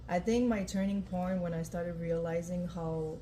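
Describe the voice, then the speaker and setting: A woman from France, a guest dancer telling her story in a relaxed way, with many "you know" and "um". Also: fairly low pitch, moderate pace, poor audio. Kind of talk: casual interview talk. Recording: faint event noise.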